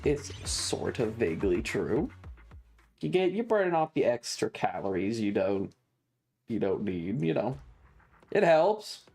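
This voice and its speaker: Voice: medium pitch, big pitch swings, unhurried, darkish tone, husky. A streamer chatting casually and playfully while gaming, rambling and mock-grumbling, with game music behind. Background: video game music.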